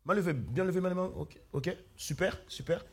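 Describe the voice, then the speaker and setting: A man preaching a sermon as pastor, hoarse with flu, earnestly calling out questions to his congregation. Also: sits high, animated, fairly quick. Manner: earnest, calls out questions to the congregation, many fillers and restarts. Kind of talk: sermon with opening prayer. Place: church hall.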